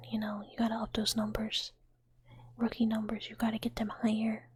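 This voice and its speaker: A woman whispering through a rambling, playful ASMR chat with viewers, full of fillers. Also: fairly high pitch. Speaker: ASMR streamer.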